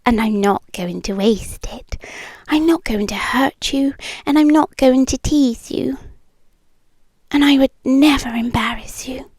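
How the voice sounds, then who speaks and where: A breathy British woman performing as a yandere girlfriend, speaking softly and very close in a dry ASMR recording. Her voice is high and often slips into a whisper. She is coaxing, doting and quietly possessive. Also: no echo, exaggerated voice. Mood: tender, possessive. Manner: slow, soft, intimate and coaxing. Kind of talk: intimate ASMR roleplay. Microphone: close microphone, dry.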